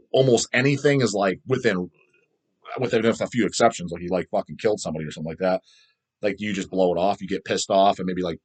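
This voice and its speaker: A middle-aged man hosting a casual video, rambling through his opinions in a blunt, crude, emphatic way with heavy swearing. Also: fairly low pitch. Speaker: host answering viewer comments.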